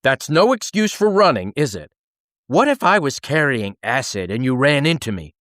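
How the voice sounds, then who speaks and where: A man voicing a grumpy space station captain in a children's audiobook, gruffly reprimanding with emphatic questions. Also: mid-range voice, very animated, moderate pace, a bit bright, dry recording, clean studio sound. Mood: stern scolding. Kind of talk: dramatised children's audiobook reading.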